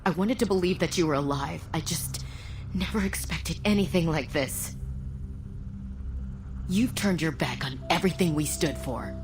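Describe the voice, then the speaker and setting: An actress playing a proud, hurt, loyal soldier in a video game, speaking earnestly and accusingly. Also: female voice, sits low, moderate pace, long pauses, lightly acted. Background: loud music.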